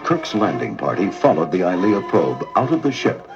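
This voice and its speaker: A man's voice: deep voice, very animated, moderate pace. A man giving dramatic scripted narration as the narrator of a storybook record. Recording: dull vintage record, loud music.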